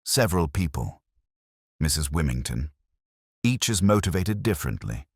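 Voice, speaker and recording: A man voicing a calm, kindly police inspector in a mystery story, speaking in a measured, formal, gently lecturing way. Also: rumbling low, husky, no room sound, clean studio sound, heavily acted. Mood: patient, formal.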